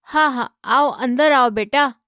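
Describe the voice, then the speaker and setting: A woman voicing an elderly village woman selling her house in an animated story, speaking in a slow, drawn-out way. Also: high voice, no echo, studio quality. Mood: welcoming. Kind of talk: Hindi animated moral comedy story acting.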